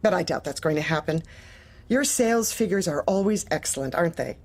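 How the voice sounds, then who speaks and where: A woman's voice: on the low side, animated, fairly quick, a little mellow. A woman playing a manipulative, officious corporate manager in a darkly comic audio drama, speaking with bland politeness and veiled menace. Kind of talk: audio drama acting, dark comedy.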